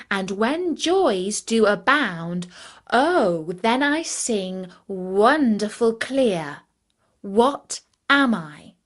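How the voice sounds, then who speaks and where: Woman playing a slow, gloating, scornful dragon. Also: medium pitch, a bit bright, heavily acted. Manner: slow, drawn-out, gloating delivery. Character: scornful, boastful male dragon who loves cunning riddles.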